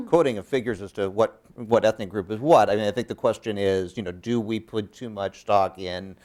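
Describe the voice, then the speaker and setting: A man moderating a panel discussion, speaking in a measured and slightly halting way. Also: on the low side, darkish tone.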